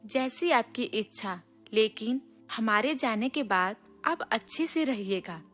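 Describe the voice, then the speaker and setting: A woman's voice: on the high side, expressive, slow, a bit bright, soft background music, full character voice. A woman voicing a respectful, self-assured young city bride in a storybook cartoon. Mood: polite, reassuring. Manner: respectful, reassuring.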